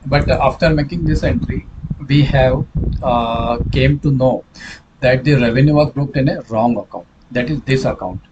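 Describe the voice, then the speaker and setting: A man narrating a hands-on software tutorial as a trainer, speaking haltingly with repetition and frequent self-corrections. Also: mid-range voice, noisy background.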